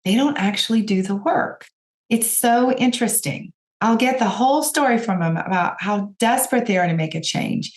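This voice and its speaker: Hoarse woman coaching with a raspy voice. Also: mid-range voice, normal speed. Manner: encouraging, direct, addresses listeners as you guys. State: hoarse from a cold. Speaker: podcast host and business coach. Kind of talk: solo podcast coaching talk.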